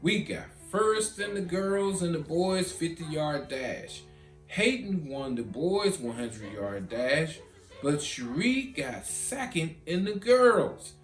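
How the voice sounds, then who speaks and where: A man reading a children's storybook as narrator, animated and with dramatic pauses. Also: a little high. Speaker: author reading own children's book. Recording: roomy echo, guitar music behind.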